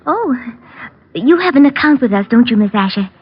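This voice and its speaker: High, prim, snippy shop clerk. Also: female voice, lively intonation, moderate pace, slightly rough. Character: prim, arch, snippy female department store clerk. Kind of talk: 1940s-50s radio drama acting. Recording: dull old-time radio recording.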